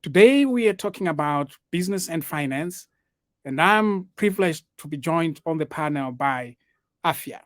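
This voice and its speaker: A man's voice: a little high, lively intonation, moderate pace, no room sound, clean studio sound. A man from Zimbabwe in his forties, moderating a business panel in a formal, welcoming way. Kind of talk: hosting a panel discussion.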